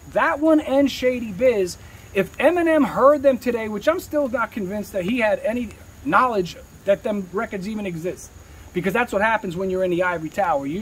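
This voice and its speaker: A man's voice: very high-pitched, a little hiss or hum, rough recording. A man talking candidly in an interview as a rapper, with slangy, streetwise speech peppered with swearing.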